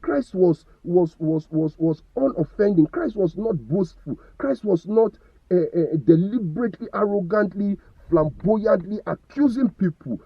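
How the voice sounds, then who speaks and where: Impassioned Nigerian man, high, lively. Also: unhurried, slightly rough, no echo, highs cut off. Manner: repeats and stutters words, rhetorical questions. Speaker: vlogger giving opinion. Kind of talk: impassioned commentary.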